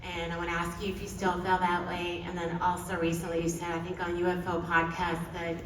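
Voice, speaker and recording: A woman's voice: on the low side, completely flat delivery, a little fast, a little hiss or hum. A woman in the audience asking a speaker a caring, conversational question. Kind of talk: Q&A question from the floor. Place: large hall with an audience. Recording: roomy hall audio from far off.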